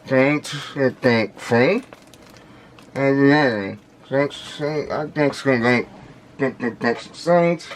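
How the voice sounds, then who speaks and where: Slow, halting man over clear background noise. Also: mid-range voice. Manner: halting, repeats words often, indistinct speech. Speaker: vlogger unboxing audio gear. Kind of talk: casual unscripted unboxing talk.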